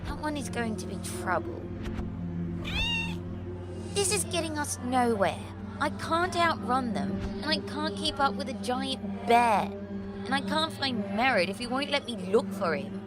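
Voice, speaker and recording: A woman's voice: high voice, expressive, a little slow. A woman playing a plucky little ghost girl in a fantasy audio drama, wry and defiant. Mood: weary resolve. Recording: loud music and effects behind.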